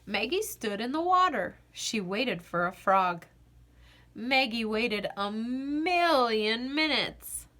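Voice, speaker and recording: A woman reading a children's picture book aloud as an expressive narrator, with deliberate phrasing and dramatic pauses. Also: fairly high pitch. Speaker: children's storybook reader.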